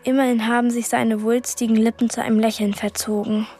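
Woman as a high-voiced, sardonic young girl. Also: level tone, a little fast, mellow, close to an everyday voice. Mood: sarcastic, repelled. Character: plucky, sardonic twelve-year-old girl heroine. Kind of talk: radio drama acting and first-person narration.

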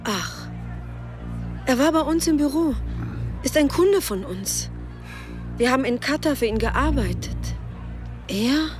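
A woman's voice: high voice, animated, a little slow, long pauses. An actress playing a guarded, direct professional woman in a radio drama, speaking in brief phrases. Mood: surprised. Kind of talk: radio play dialogue. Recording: music behind dialogue.

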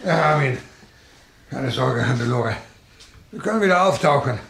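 Police inspector: composed, practical, kindly authority, medium pitch, vintage radio crime drama. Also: male voice, lively intonation, normal speed, gravelly, a bit bright, slight background noise. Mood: calm, official. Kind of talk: 1970s German radio crime drama acting.

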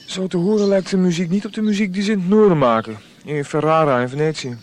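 An actor playing an eager, inquisitive newcomer at court in a radio drama, earnest and a little hesitant. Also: male voice, sits high, fast talker, slight background noise, close to an everyday voice.

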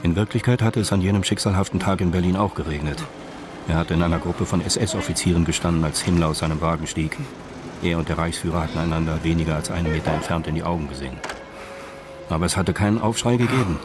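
A man's voice: low voice, quick pace, background noise. A man reading scripted narration for a radio crime drama.

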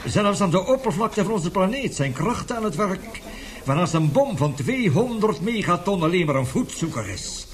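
An actor playing an older, indignant scientist professor in a radio play, vehement and lecturing, halting at times. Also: male voice, sits high, big pitch swings. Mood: grave, urgent. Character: indignant, passionate scientist professor with a weak heart. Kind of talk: radio drama acting.

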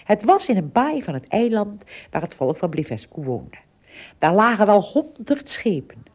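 A woman narrating a scripted adventure story for a radio play, in expressive storyteller style. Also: medium pitch, moderate pace.

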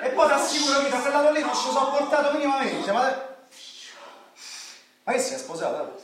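Blunt high-voiced Roman man, echoey. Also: lively intonation, a little fast, fairly bright tone. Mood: defensive. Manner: colloquial, rambling, blunt. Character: coarse, blunt Roman working-class male lover, boastful, impatient. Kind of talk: radio drama acting. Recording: very echoey, distant mic, clearly noisy.

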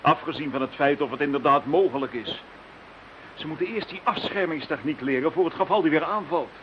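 Actor as an anxious, higher-voiced pessimistic man. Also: fairly quick, close to an everyday voice. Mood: worried, doubtful. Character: anxious, pessimistic, agitated man in a conspiracy thriller. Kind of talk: Dutch radio play acting. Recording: dull, hissy radio play recording.

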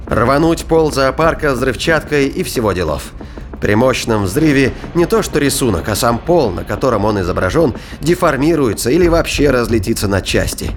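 A man's voice: mid-range voice, slight background noise. A man reading scripted narration for a science-fiction thriller audio drama. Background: music.

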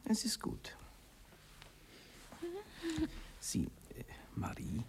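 Man playing a murmuring, eager young Viennese gentleman. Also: in a whisper, natural voice. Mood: flustered, self-important. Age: young adult. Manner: halting, coaxing, breathy murmuring. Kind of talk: 1960s radio play acting.

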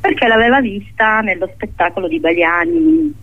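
A woman's voice: a little high, sing-song, normal speed, a little hiss or hum. A woman talking reflectively in an interview, hesitant, often searching for words and repeating herself. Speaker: playwright being interviewed. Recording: narrow phone-line sound.

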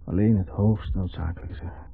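A man's voice: sits low, steady tone, fairly quick, vocal fry, breathy edge, exaggerated voice. An actor playing a bitter, reclusive man, cold and scornful, in a 1970s radio drama. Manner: terse, scornful, theatrical. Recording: slightly dull old radio recording.

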